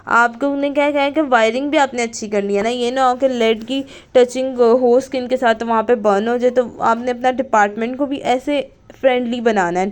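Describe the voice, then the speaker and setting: Woman, fairly high voice, informal teaching style, reads notes then explains, frequent check-in tags, code-switching. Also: a little mellow. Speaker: physiotherapy lecturer.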